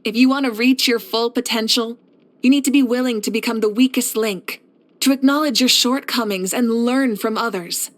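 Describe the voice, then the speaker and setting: A woman playing a confident, kindly fire archon and war leader in a video game, speaking in an assured, measured, encouraging way. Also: a little high, natural voice. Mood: earnest, assured. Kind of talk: scripted video game character voice lines.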